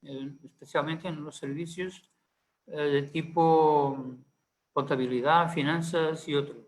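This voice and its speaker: A man's voice: fairly high pitch, fairly even intonation, measured pace, a little hiss or hum, poor audio. A man speaking Spanish with a Portuguese accent, a panelist giving a conference talk, hesitant with many fillers and restarts.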